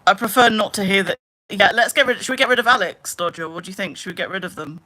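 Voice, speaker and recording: A woman in her late twenties chatting casually on a live stream, rambling with lots of "like" and trailing into low mutters. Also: fast, pushed and bright. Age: 20s. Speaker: livestreamer.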